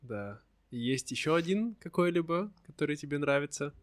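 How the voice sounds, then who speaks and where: Man hosting a slow interview. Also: a little high, lively intonation, no echo. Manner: friendly, asks simple follow-up questions. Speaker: host. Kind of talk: slow conversational interview for learners.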